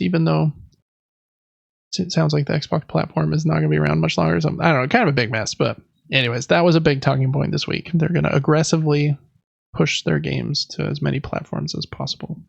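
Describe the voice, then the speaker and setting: A man with a slightly high voice and a crackly fry runs through news as a podcast host. His delivery is informal and conversational, like casual commentary among friends. The audio is a little dull and lo-fi.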